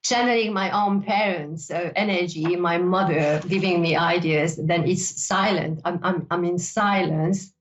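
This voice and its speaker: A woman's voice: fairly low pitch, unhurried, hardly any pauses, slight background noise. Hungarian-accented woman host, lo-fi sound. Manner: rambling, repeats words, adds "you know". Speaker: psychic show host. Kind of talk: casual teaching talk. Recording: dull lo-fi sound.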